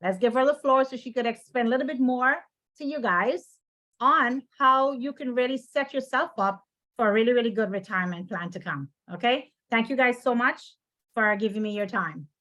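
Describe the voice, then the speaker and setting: A middle-aged woman speaks with a Guyanese accent in a fairly high voice. She presents like an informal financial seller addressing an audience, stressing and repeating her key phrases. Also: moderate pace, sharp tone.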